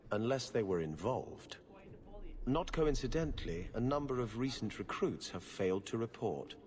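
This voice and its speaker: An actor playing a prim, middle-aged government official in a video game, speaking in a formal, measured and dryly disdainful way. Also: male voice, lowish voice. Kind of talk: video game cutscene acting. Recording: echoey hall reverb.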